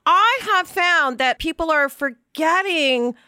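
A woman's voice: high-pitched, animated, slow pace, pushed and bright, no room sound, clean studio sound. A woman co-hosting a conversational podcast, talking emphatically and stressing words by repeating them. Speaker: podcast co-host.

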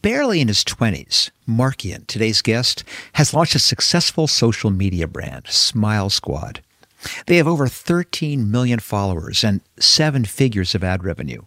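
A man's voice: fairly low pitch, big pitch swings, moderate pace, a bit bright, no echo, studio quality. A man in his fifties hosting a podcast interview, enthusiastic and talkative, moving from a scripted intro into easy conversation. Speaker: podcast host.

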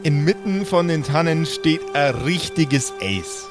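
A man's voice: a little high, expressive, slow pace, music in the background. A man, casually improvising narration as game master of a tabletop role-playing game.

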